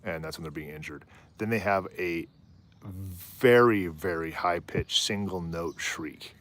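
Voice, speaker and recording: A man with a low voice hosting a live stream outdoors on a rooftop, chatting in a rambling, easygoing way. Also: a little slow, a bit bright. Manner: rambling, easygoing, often trails off and laughs. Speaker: livestream host. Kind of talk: casual live commentary.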